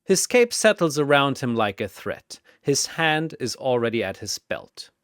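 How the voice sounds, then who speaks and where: A man narrating a scripted fantasy story in a theatrical, deliberate manner. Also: mid-range voice, big pitch swings, fairly bright tone, smooth, no room sound, clean studio sound.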